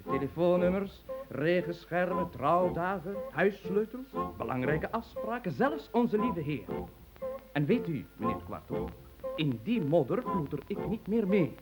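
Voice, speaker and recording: Pompous, swooping eccentric gentleman. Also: on the high side, rough recording. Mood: pompous, lecturing. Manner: pompous, long-winded, theatrically insistent. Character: eccentric, pompous male industrialist, fussy and bossy. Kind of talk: absurdist comic radio play acting.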